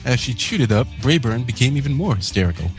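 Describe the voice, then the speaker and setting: A man's voice: mid-range voice, very animated, quick pace, soft background music. A man reading comic fan fiction aloud as co-host of a read-along. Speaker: co-host and story reader.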